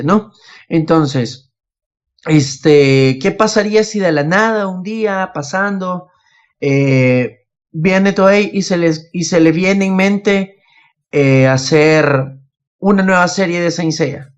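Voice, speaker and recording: Higher-voiced young man, muffled podcast audio. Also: slow pace. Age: young adult. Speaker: podcast co-host. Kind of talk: casual podcast chat about anime. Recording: dull lo-fi voice recording.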